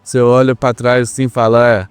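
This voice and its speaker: A man's voice: medium pitch, flat delivery, a little fast, smooth, no room sound, faint music behind. Earnest Brazilian man, dry close sound. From Brazil, small town. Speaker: podcast guest. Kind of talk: personal storytelling in a podcast interview.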